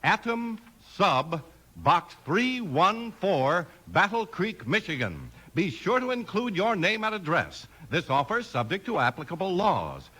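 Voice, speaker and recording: An American man announces in 1950s radio style, enthusiastic and emphatic. His voice is bright and forceful with a husky edge, swinging widely in pitch. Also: huge pitch swings, unhurried. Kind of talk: 1950s radio advertising and narration.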